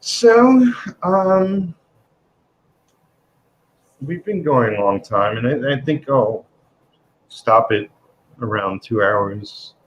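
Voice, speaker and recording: A man's voice: medium pitch, very animated, extremely slow, pauses often, muffled recording. A man with a New York accent hosting a casual comics livestream, rambling with frequent restarts. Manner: rambling, restarts often, laughs between remarks. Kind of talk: casual chat.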